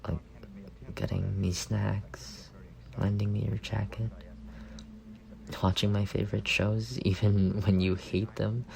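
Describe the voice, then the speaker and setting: A young man playing a shy, lovestruck roommate in an intimate ASMR roleplay, speaking tenderly and haltingly, often trailing into breathy murmurs. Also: lowish voice, a little mellow, slight background noise, heavily acted, rough recording. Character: shy, lovestruck young roommate confessing softly to a sleeping friend.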